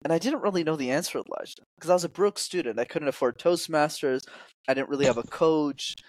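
A young man in his twenties speaking as a communication coach in a casual interview, lively, acting out quoted voices with sudden jumps in pitch. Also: quick pace, dry recording.

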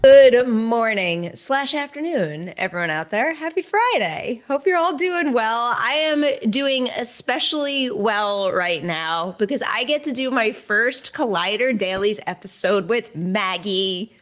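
A woman's voice: a little high, animated, unhurried, few pauses. Enthusiastic woman hosting, slightly dull sound. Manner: enthusiastic, effusive. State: a little sleepy. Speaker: show host. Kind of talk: casual entertainment news chat. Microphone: studio microphone.

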